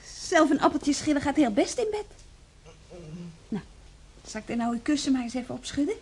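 A woman's voice: high-pitched, lively intonation, moderate pace, a little mellow. An actress playing a kind, chatty young nurse in a radio drama, soothing and coaxing as she fusses over a patient. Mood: cheerful, fussing. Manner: caring, coaxing, fussing. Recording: slightly noisy old radio play recording.

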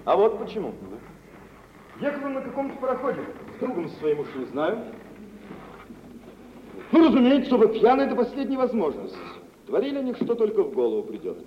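An actor playing a boisterous, self-assured gentleman shipowner in theatrical mid-century radio drama, telling things in an expansive, swaggering way. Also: high voice, huge pitch swings, a little fast, pauses often. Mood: boastful, amused. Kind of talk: mid-century Soviet stage acting for radio. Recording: muffled, noisy 1950s radio recording, reverberant hall.

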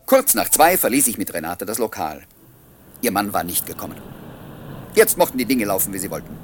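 An actor playing a wry, resigned narrator of his own story in a German radio play, husky and measured. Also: fairly low pitch, big pitch swings, soft background music. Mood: wry, reflective. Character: wry, resigned male first-person narrator.

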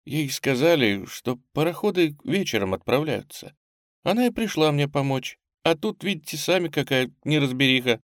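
A man's voice: on the high side, animated, normal speed, dry recording, clean studio sound, voice pushed up, lightly acted. A man voicing an anxious, kindly old lady, a nervous headmistress in an audiobook. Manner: nervous, agitated. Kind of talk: audiobook character acting.

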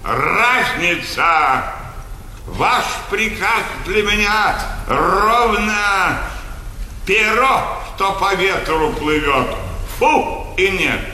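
Slow, bright-voiced pompous servant. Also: male voice, high voice, lively intonation, full character voice. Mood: dry, insolent. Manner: pompous, deliberate, ornate. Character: stiff, insolent, self-important household servant in Imperial Russia. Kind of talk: theatrical stage comedy acting. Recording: echoey theatre stage, faint noise.

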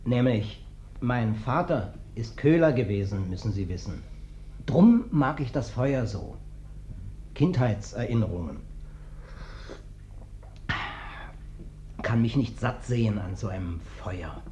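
An actor playing a huge ex-wrestler turned vagrant in a radio play, ingratiating, sly and sentimental, with a wheedling, over-polite, folksy manner. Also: male voice, medium pitch, expressive, a little slow, warm tone, a little hiss or hum, natural voice. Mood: sly, dreamy. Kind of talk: classic German radio play acting. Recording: dull, band-limited old radio recording.

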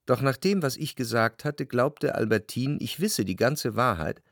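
An actor playing a melancholy, jealous lover who narrates his memories in a reflective, measured literary radio play style. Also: medium pitch, animated, fairly bright tone, no room sound, clean studio sound. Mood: brooding.

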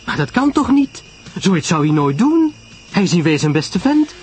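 Man voicing an excitable, high-pitched society lady. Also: faint music behind. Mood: shocked disbelief. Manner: breathless, halting, repeating words in agitation. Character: excitable, breathless young society woman, shocked and curious.

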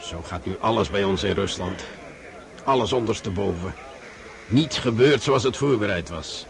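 An actor playing an eager, frustrated Russian general in a radio drama, deferential yet exasperated. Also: male voice, on the low side, animated, normal speed, vocal fry, crowd noise. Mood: resigned frustration.

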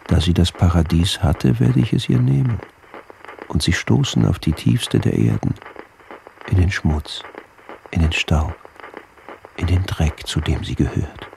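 A man's voice: deep voice, creaky, warm tone, a little hiss or hum, heavily acted, rough recording. An actor playing a deranged, fanatical doctor who declaims his diaries in solemn scriptural tones for a radio drama. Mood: vengeful fervour. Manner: solemn, portentous, obsessive.

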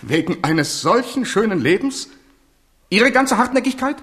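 A man's voice: high-pitched, sing-song, a little slow, fairly bright tone, heavily acted. An actor in a German radio play playing a capricious, wounded French king, swinging from theatrical outbursts to plaintive murmurs. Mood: irritated, haughty.

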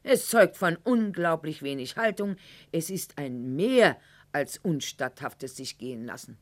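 A woman's voice: low voice, expressive, a little slow. An actress playing a proud, bitter, sickly aristocratic lady in a theatrical radio monologue, with mocking, precise, formal diction. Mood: indignant, scornful.

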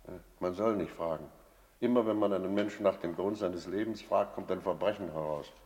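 An actor playing a cynical, brooding innkeeper in a German radio play, reflective and skeptical. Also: male voice, low voice, brisk, darkish tone, breathy edge. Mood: skeptical, challenging. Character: cynical male innkeeper, brooding first-person narrator.

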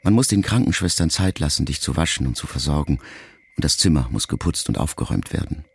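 A middle-aged man reading a literary memoir aloud in a measured, reflective first-person voice. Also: very deep voice, animated, gravelly, husky. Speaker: audiobook narrator. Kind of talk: scripted literary narration.

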